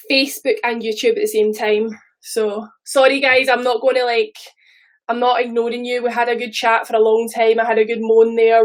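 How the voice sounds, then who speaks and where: A woman in her thirties with a Scottish accent, talking loosely and rambling to her viewers on a live stream. Her voice sits a little high, and she swears now and then between asides. She sounds like she is on a phone or webcam mic. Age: 30s. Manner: chatty, rambling, swears casually. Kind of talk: casual live stream chat.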